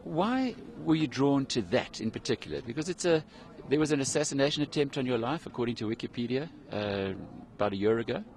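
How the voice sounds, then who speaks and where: Middle-aged guy doing a live tv interview outside, handheld mic, mid pitch, kinda conversational. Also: expressive, a little fast, darkish tone. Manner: conversational, with some ums. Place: outdoors in snow.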